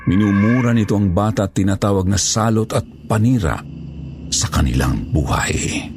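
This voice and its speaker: A man's voice: fairly low pitch, normal speed, music in the background. A man narrating a scripted Tagalog horror story in a dramatic style.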